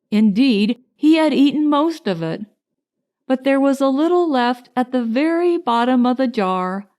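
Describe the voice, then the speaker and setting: Slow-paced, higher-voiced woman storyteller. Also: a little mellow. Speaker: audiobook narrator. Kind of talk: scripted children's storybook narration.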